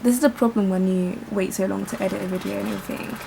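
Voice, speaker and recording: A young woman, chatting casually to camera at home about her day, a little croaky. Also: mid-range voice, fast talker, slight background noise. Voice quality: slightly croaky in the morning. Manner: relaxed, chatty, thinks aloud. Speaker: vlogger. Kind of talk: casual vlog chat to camera. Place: bedroom at home.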